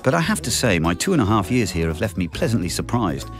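A middle-aged man with a low voice talks about his lab with lively rises and falls, enthusiastic and explanatory. He is recorded in a laboratory, with music playing clearly underneath. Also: fast. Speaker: professor. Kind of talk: interview about teaching and labs. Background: background music.

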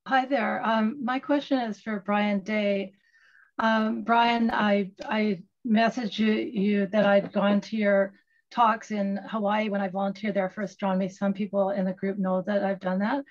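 An older woman asks a question in an online meeting, keeping her pitch quite flat. The video-call sound is dull and lo-fi. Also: measured pace. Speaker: audience member asking a question. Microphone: video call, head and shoulders close.

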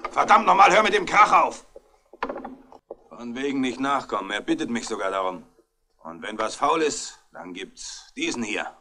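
A belligerent, suspicious male tough in a 1960s German radio mystery. His mid-pitched voice is hard and forceful. He talks roughly and menacingly, like someone ready for a brawl. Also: sing-song, moderate pace, exaggerated voice. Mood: threatening. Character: belligerent, suspicious male thug making threats. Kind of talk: 1960s German radio crime drama acting.

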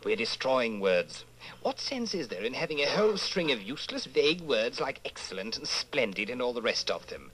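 A smug, zealous intellectual man acted by a male performer, lecturing with lively swings of pitch around a medium register. The style is radio drama. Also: normal speed, a little hiss or hum, poor audio. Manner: enthusiastic, lecturing. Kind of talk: old time radio style drama acting.

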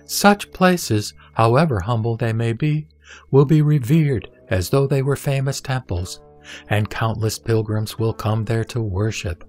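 A man playing the Buddha as a serene, solemn teacher, reciting scripture in measured, deliberate phrases for an audio drama. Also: mid-range voice, darkish tone, close to an everyday voice. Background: music.

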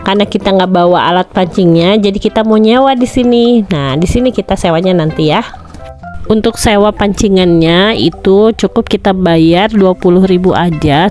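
Cheerful female vlogger, 30s, chatty phone-filmed tour narration, soft music bed. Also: mid-range voice. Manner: chatty, cheerful, excited cheers when fish are caught. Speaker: family vlogger. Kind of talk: casual tour narration to viewers. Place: outdoor fishing pond cafe.

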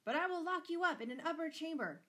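A woman's voice: mid-range voice, animated, normal speed, sharp tone. A woman voicing a furious, tyrannical noble father in a storybook, bellowing and thundering with indignation. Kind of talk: dramatised storybook reading.

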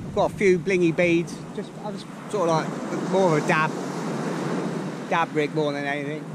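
A man's voice: on the high side, fairly even intonation, moderate pace, heavy background noise. An older man with a Norfolk accent, chatting casually to camera on a beach as he explains his fishing tackle step by step. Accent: Norfolk English. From England. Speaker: fishing channel host. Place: open beach at the sea, outdoors. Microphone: action camera close by. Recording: outdoor camera audio over loud surf. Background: loud surf and waves.

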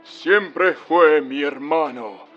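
A man's voice: on the high side, slow. A man playing a melodramatic telenovela lover in soap opera style. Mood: wounded, dramatic. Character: melodramatic male telenovela lover confronting a woman. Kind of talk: Spanish-language soap opera acting. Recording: lo-fi TV sound with music.

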